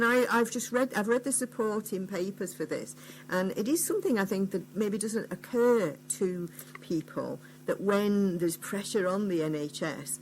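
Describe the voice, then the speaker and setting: A woman's voice: medium pitch, a little hiss or hum, rough recording. A woman interviewing a guest on the radio in a conversational style.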